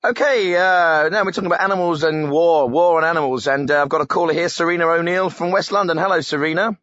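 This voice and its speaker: An actor playing a brisk, obtuse, provocative male host of a spoof radio phone-in, casual and prone to interrupting. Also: a little high, fairly bright tone, no echo, lightly acted.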